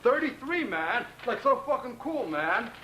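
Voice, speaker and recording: A man's voice: extremely high pitch, very animated, unhurried, bright, forceful tone. A man with a Brooklyn accent rambles profanely to a friend on a home camcorder tape in his apartment bedroom. He boasts, makes threats, stutters through restarts and says "man" constantly. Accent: Brooklyn New York. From Brooklyn, New York. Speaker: home video maker. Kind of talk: rambling camcorder address to a pen pal. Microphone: camcorder on a tripod. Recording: roomy, distant 1990 camcorder tape with hiss.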